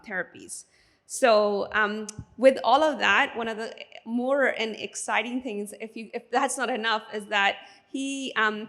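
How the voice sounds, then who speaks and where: A woman's voice: fairly high pitch, fairly bright tone. A woman hosting a conference, reading out a speaker's introduction with a few stumbles and ums. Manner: reads a speaker bio with some stumbles. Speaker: event host introducing a speaker. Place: conference hall stage.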